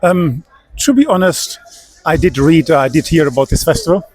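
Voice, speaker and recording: A man's voice: on the high side, expressive, moderate pace, darkish tone, no room sound, soft background music, poor audio. A man from Germany with a German accent, giving thoughtful, hesitant answers in an interview as a tourist.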